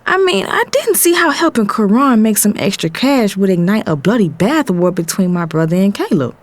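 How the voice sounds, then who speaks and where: An actress playing a protective, exasperated young woman in an audio drama, speaking in an expressive, emotive, slangy way as she narrates family turmoil. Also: medium pitch, moderate pace, natural voice. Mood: tense storytelling.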